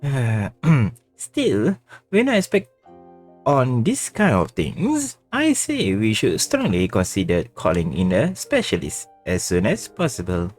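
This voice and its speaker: A male game streamer reading dialogue aloud during a playthrough in a halting, stumbling manner. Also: medium pitch, wildly swooping pitch, darkish tone, faint music behind.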